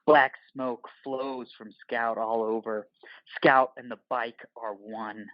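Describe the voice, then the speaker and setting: A man's voice: medium pitch, steady tone, slow pace, no echo, lo-fi sound. A man narrating a scripted audio play in the role of narrator.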